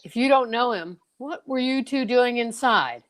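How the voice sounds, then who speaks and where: A woman's voice: a little high, lively intonation, normal speed, natural voice. A woman acting the part of a male doctor in a radio mystery, official and questioning. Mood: puzzled, official. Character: male doctor, brisk and questioning, at a death scene. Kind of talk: old-time radio drama acting.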